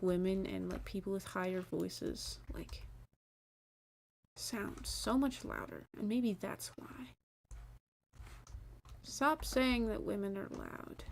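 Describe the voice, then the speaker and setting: A woman streaming a building game, chatting casually in a rambling, self-deprecating way, her voice mumblier and deeper than usual. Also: creaky, slight background noise. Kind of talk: casual gameplay stream chat.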